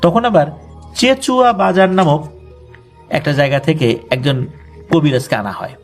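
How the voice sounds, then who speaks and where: A man's voice: on the high side, animated, a little slow, soft background music. A man with a Bangladeshi accent narrating a ghost story conversationally, with explanatory colloquial asides.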